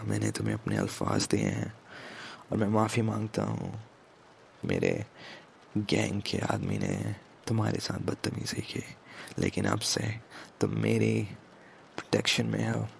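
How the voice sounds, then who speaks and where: A man playing a commanding, protective mafia boss in an ASMR romance roleplay, speaking in a hushed, deliberate way with English words mixed in. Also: slight background noise. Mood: protective, firm. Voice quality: low, gravelly, breathy at times. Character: commanding yet protective male mafia boss, tender with his captive.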